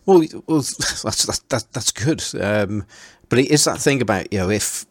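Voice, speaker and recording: A man's voice: on the low side, lively intonation, normal speed, dry recording. A man hosting a casual chat, talking hesitantly and often repeating words while he thinks. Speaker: podcast host.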